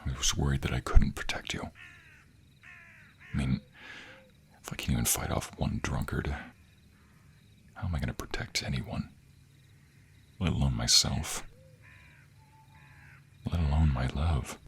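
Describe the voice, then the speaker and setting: A man voicing a devoted, protective vampire boyfriend in an intimate romantic audio roleplay, speaking earnestly and hesitantly, often in a breathy hush. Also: extremely low pitch, unhurried, long pauses, a little hiss or hum, full character voice. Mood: hushed, troubled.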